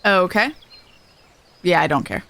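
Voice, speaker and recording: A woman's voice: on the low side, a little slow, vocal fry, fairly bright tone, no echo. A woman game streamer giving a casual walkthrough in a chatty, slangy, informal way. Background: game music.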